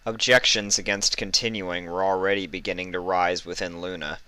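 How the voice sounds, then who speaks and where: A man reading a fan fiction story aloud as expressive scripted narration. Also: sits low, normal speed, clean tone.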